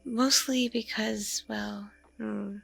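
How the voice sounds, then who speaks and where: A woman playing a mature, flirtatious, spacey female vampire in an ASMR roleplay, speaking softly and hesitantly, as if in a one-sided intimate conversation. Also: medium pitch, steady tone, creaky, breathy edge, soft background music, exaggerated voice. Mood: relaxed, self-deprecating. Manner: hesitant, murmuring, lingering pauses with ums and mms. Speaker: roleplay voice performer.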